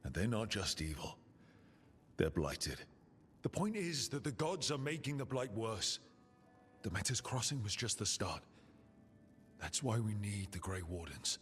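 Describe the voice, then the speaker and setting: An actor playing a brash, defiant young assassin who leads a team in a video game, speaking with confident insistence. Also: male voice, fairly low pitch, very animated, unhurried, pauses often, fairly bright tone, faint music behind. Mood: earnest, urgent. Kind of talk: dramatic video game dialogue. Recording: long reverb.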